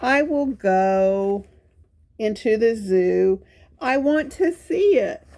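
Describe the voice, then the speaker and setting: A woman's voice: mid-range voice, lively intonation, slow, darkish tone. A woman voicing an eager, pleading spotted leopard from a children's storybook, emphatic and repetitive. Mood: hopeful. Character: eager, pleading young male spotted leopard wanting acceptance. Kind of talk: children's storybook character reading.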